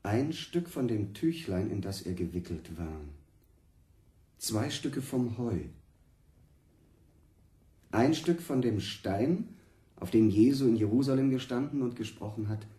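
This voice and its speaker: A man's voice: lowish voice, expressive, unhurried, pauses often, warm tone. A man in a radio play acting a solemn church official who recites an inventory with ceremonial deliberation. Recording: roomy, echoing church-like sound.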